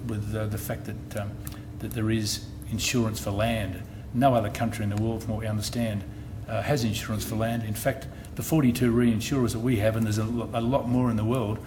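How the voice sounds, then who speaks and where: Australian man briefing a public meeting. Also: on the low side. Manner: measured, restarts phrases often. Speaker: insurance company executive. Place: meeting hall. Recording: noisy meeting room recording.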